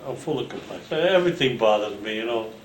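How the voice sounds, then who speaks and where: A man's voice: medium pitch, expressive. Roomy-sounding New man in his eighties. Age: 80s. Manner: rambling, halting storyteller with many restarts. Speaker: World War Two veteran recounting family history. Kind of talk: casual oral history interview. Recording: roomy home recording with faint hiss.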